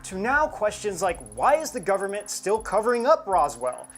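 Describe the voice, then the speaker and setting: A woman's voice: mid-range voice, sing-song, normal speed. A woman co-hosting a UFO show, explaining things in conversational commentary. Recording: music behind the voice. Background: background music.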